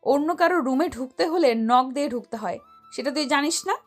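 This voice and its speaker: A woman's voice: high voice, normal speed, faint music behind, close to an everyday voice. A woman playing a stern, teasing young tutor who acts like a bossy older sister in an audio romance story. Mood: angry scolding.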